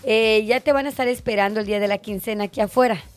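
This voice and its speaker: A woman's voice: a little high, fast talker. A woman co-hosting a radio show, teasing and laughing, calling listeners "bebé". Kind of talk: joking radio banter.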